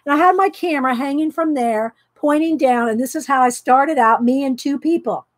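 A middle-aged woman hosting a casual craft chat from her home craft room, talking loudly and laughing often. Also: high-pitched, moderate pace, no room sound. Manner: loud, chatty, laughs often. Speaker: craft video host. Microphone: overhead camera pointing down at desk.

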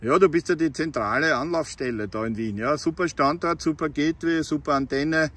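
A man's voice: fairly high pitch, a little fast, a bit bright. A man with an Austrian accent chatting casually as a CB radio hobbyist, recorded outdoors. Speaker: amateur CB radio operator filming his meetup. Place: outdoors by a roadside.

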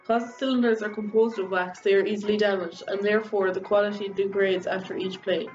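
A woman's voice: mid-range voice, little pitch movement, quick pace, a little mellow. A young woman giving a scripted educational narration as a student presenter. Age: young adult. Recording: slightly dull band-limited, faint music. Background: music.

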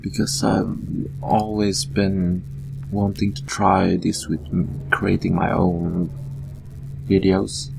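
A man with a Swedish accent giving relaxed let's-play commentary, thinking aloud as he plays. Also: sits low, creaky, low-quality recording. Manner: relaxed, thinking aloud while playing, slow with fillers. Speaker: gameplay commentator.

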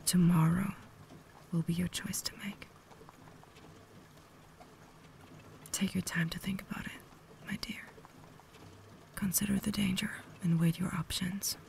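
Casting: woman to play refined, tormented vampire lady for ASMR roleplay; breathy whisper, gravelly fry, caring and formal. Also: lowish voice, little pitch movement, a little mellow, a little hiss or hum. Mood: gentle, resigned. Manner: formal, measured, earnest diction.

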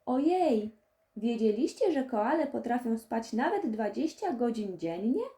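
A curious young boy from a children's storybook, played by a woman reader. He sounds amazed and childlike, with animated intonation and a soft, mellow tone. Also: a little high, measured pace, roomy sound.

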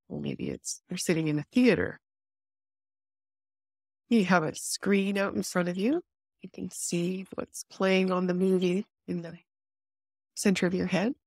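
Female meditation guide, lowish pitch, calm instructional delivery with gentle pauses, very dry sound. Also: no echo. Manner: soothing, unhurried, instructive with pauses.

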